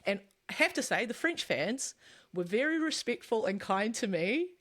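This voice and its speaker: Lively New Zealand woman podcaster. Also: on the high side, moderate pace. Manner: chatty, laughs often, tells anecdotes. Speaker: podcast co-host. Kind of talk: casual podcast chat.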